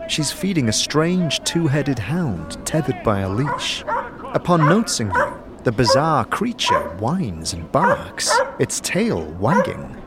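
A man's voice: mid-range voice, big pitch swings, slow pace, over loud music. A man narrating a scripted fantasy audio drama, addressing the listener in the second person.

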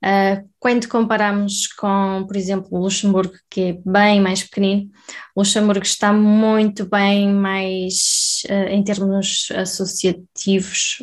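A woman's voice: medium pitch, little pitch movement, a little slow. Chatty woman interviewer. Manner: chatty, rhetorical tag questions. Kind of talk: casual conversation.

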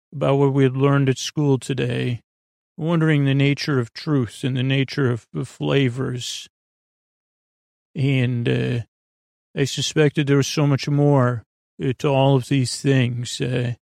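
A man hosting a podcast with a meandering bedtime monologue, halting and digressive, trailing off and restarting his sentences in a creaky voice. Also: mid-range voice, darkish tone, no room sound, studio quality. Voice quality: creaky, gravelly. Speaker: podcast host.

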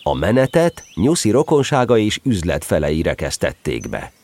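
A man narrating a children's story as an expressive, scripted storyteller. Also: low voice, a little hiss or hum.

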